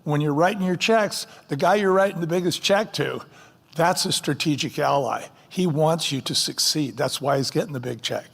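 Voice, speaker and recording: A man's voice: fairly high pitch, normal speed, gravelly. A man, a company co-founder, speaking emphatically at a conference fireside chat and firing off rhetorical questions. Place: conference stage. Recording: long hall reverb.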